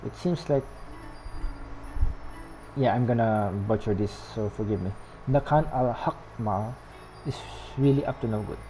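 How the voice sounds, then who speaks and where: Streamer with a Filipino accent, warm mellow tone, reads lines and fixes slips, faint game music behind. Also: medium pitch, unhurried, poor audio. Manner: self-correcting, apologetic about pronunciation, giggly asides. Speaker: gameplay streamer. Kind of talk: casual game commentary, reading lines aloud.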